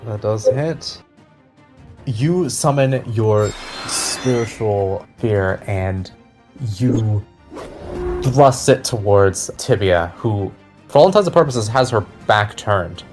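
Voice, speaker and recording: A man narrating a fantasy tabletop game as game master, describing scenes deliberately and with suspense. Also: lowish voice, animated. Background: background music.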